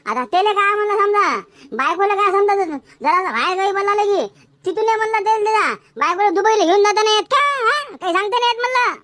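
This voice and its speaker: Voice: very high-pitched, expressive, a little fast, pushed and bright, no echo, exaggerated voice. A performer voicing a fretful, distrustful cartoon villager who keeps questioning, with a rural Varhadi Marathi accent. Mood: speculating. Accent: rural Maharashtra, Varhadi Marathi. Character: anxious, cautious villager in a comic cartoon. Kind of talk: comic cartoon dialogue.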